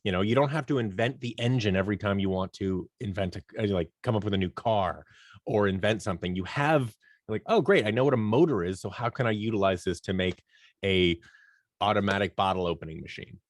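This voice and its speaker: Low-voiced man chatting, dry close sound. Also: fairly quick, no room sound. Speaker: interview guest, audio drama producer leading a creative team. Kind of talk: casual conversational interview answers.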